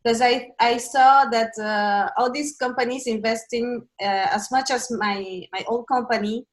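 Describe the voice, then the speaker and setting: Woman with a higher voice on a video call. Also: steady tone. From Chile and Brazil. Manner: halting, searching for words. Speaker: interview guest, business consultant. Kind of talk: casual interview over video call.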